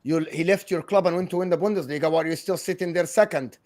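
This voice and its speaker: A man's voice: high-pitched, quick pace, very noisy. A man co-hosting a livestreamed football talk show, teasing and arguing heatedly, sometimes breaking into sweary rants. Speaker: football podcast co-host. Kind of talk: heated casual football chat.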